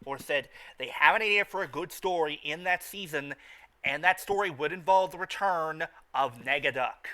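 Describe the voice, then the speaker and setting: A man hosting a casual podcast, talking off the cuff in a halting way with stammered, repeated words. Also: fairly high pitch, pushed and bright. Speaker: podcast host. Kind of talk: casual unscripted podcast chat.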